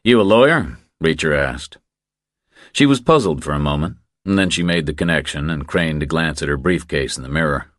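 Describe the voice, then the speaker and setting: Really low deep guy reading a thriller audiobook, dry close sound, voice moves a bit. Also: brisk, a bit bright, no echo. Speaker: audiobook narrator. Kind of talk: scripted thriller audiobook narration.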